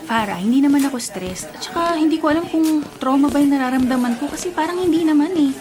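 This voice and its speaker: A woman's voice: sits high, fast talker, natural voice. An actress playing a young, troubled and confused woman race driver in a Filipino radio drama, earnest and conversational. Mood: casual, defensive. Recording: radio drama under clear background music.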